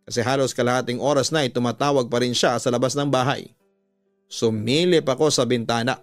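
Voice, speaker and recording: A man with a Filipino accent narrating a scripted story as a radio host, measured and deliberate, drawing out the ends of phrases. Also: mid-range voice, steady tone, no echo. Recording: background music under a dry studio voice. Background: music.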